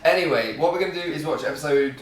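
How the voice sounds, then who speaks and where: A man hosting a casual reaction chat, relaxed and hesitant with lots of ums. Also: fairly high pitch, fast talker. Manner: relaxed, hesitant with many fillers. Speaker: reaction channel host. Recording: roomy echo, mic far off.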